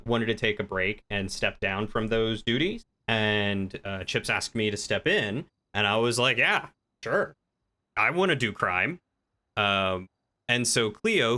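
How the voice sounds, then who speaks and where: A man's voice: fairly low pitch, sharp tone. A man livestreaming a role-play game, chatting casually with viewers and explaining things in an informal, rambling way as he thinks aloud.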